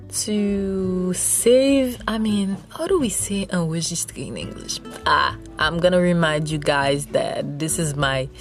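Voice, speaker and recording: A young woman with a Haitian accent, Creole her first language, rambles through a casual solo podcast. She talks at a slow, easy pace and giggles often, and faint music plays softly behind her voice. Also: on the low side. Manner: chatty, rambling, with frequent giggles. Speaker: podcast host.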